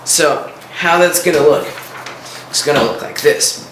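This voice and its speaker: A man from Russia with a Russian accent, his first language being Russian, casually explaining his guitar design in halting, filler-heavy speech. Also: a little high, roomy sound, background noise. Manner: hesitant, many fillers and restarts. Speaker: guitar design contest entrant.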